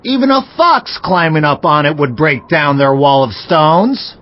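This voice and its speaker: Guy playing a scoffing henchman in an audiobook bible drama, raised pitch, kinda muffled sound. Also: lively intonation, a little slow. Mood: jeering contempt. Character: jeering, contemptuous sidekick of an enemy leader.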